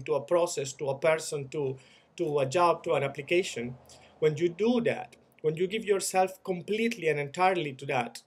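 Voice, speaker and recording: Venezuelan man, 30s, fairly high voice; reflective video message, earnest, restarts often. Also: husky. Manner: earnest, reflective, with frequent repeated words and restarts. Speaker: former student-organization leader sharing experience.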